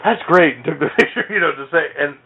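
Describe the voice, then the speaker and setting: A man's voice: on the high side, fast talker, narrow, tinny audio, a crowd in the background. A man hosting a podcast in a casual, conversational style. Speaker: podcast host. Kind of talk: casual comic-book chat.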